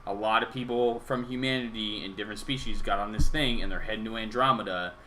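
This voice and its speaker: A man hosting a podcast, explaining theories in casual gaming chat with animated delivery and some swearing. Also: lowish voice, fast, slight background noise, low-quality recording. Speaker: podcast host.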